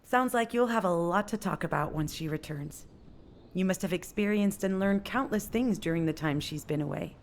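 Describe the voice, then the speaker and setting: A woman's voice: fairly low pitch, moderate pace, a little hiss or hum, close to an everyday voice. Blunt, hot-tempered fighter woman played by an actress. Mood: wry. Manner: blunt, intense, emphatic. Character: hot-tempered, tough female fighter with a grudge. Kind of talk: scripted video game dialogue.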